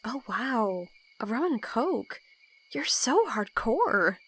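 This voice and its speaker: A woman's voice: a little high, sing-song, slow pace, slightly rough, exaggerated voice. A woman playing a sarcastic, bossy young assassin roommate with dry, teasing delivery in an audio roleplay. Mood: teasing, flustered. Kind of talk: casual audio roleplay acting.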